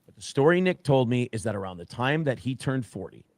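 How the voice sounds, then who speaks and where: A man's voice: medium pitch, sing-song, fairly quick, dry recording. A man in a played clip telling a story he heard firsthand, repeating and correcting himself as he goes. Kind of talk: casual stream talk.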